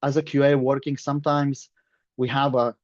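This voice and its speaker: A man's voice: mid-range voice, flat delivery, normal speed, dry recording. A man from Lithuania with a Lithuanian accent, telling his story in a chatty, plain-spoken webinar talk over a video call. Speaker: guest presenter, surveyor. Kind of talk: casual webinar presentation. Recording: dull lo-fi call audio.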